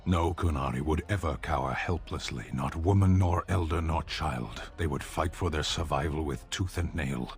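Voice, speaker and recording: An actor voicing a proud, unsentimental giant-folk warrior in a fantasy game, blunt, formal and severe. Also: male voice, rumbling low, normal speed, few pauses. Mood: cold disdain. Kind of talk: fantasy video game dialogue.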